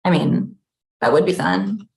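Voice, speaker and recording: A woman reading the part of an aloof, blunt managing editor in a deadpan, conversational comedy table read. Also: deep voice, measured pace, vocal fry, heavily acted. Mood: bored, blunt. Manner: deadpan, unimpressed.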